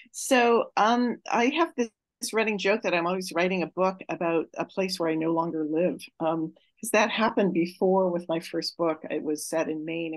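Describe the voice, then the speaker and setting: A woman novelist on a video call, musing at length with many pauses and fillers. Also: fairly low pitch, no echo, rough recording. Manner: thoughtful, halting with many fillers. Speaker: novelist panelist. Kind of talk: casual panel discussion.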